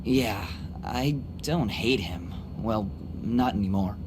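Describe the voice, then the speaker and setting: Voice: medium pitch, slow pace, background noise, natural voice. An actor playing an easygoing, candid, boyish young man in an English anime game dub, casual and a bit awkward. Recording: very loud music behind the voice.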